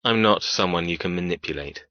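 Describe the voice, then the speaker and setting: A man's voice: low-pitched, brisk, bright, forceful tone, dry recording, dull audio. An actor playing a cold, cruel male captor who taunts with clipped, controlled, sneering menace in audiobook dialogue. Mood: cold, warning.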